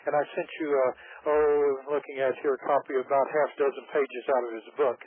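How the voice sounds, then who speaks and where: A man calling in to a radio show, speaking haltingly with many pauses and fillers. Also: medium pitch, flat delivery, breathy edge. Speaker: caller. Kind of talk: phone-in call. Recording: tinny phone line over radio with faint hiss.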